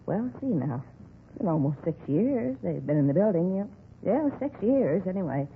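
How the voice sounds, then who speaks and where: A nosy, middle-aged apartment manager who rambles and repeats herself in folksy 1950s radio style. Her delivery swings up and down in a lively way. The old recording is thin and tinny with a light layer of hiss. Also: on the low side, normal speed. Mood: gossipy, disapproving. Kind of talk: 1950s radio drama acting.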